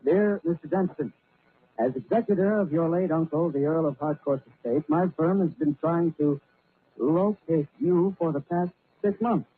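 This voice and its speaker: A man's voice: fairly high pitch, moderate pace, breathy edge. An actor playing an earnest, ordinary husband who narrates his own tale in a 1950s radio drama. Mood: astonished. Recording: muffled, narrow-band 1950s radio recording.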